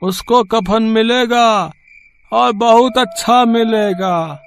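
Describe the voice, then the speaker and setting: A tipsy old father acted by a male storyteller holds forth brazenly and scornfully. The voice rides high and moves very slowly, leaning on each phrase. It sounds like a dramatized short story, with faint noise behind it. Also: heavily acted. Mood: indignant. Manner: slow, drawn-out, emphatic delivery.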